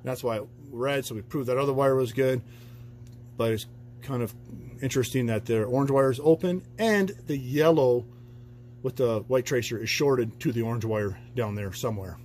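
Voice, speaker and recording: A man's voice: medium pitch, moderate pace, a little mellow, a little hiss or hum. A man working as an auto mechanic, talking through a repair in a loose, rambling way with plenty of fillers. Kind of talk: casual repair walkthrough. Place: garage, under a truck.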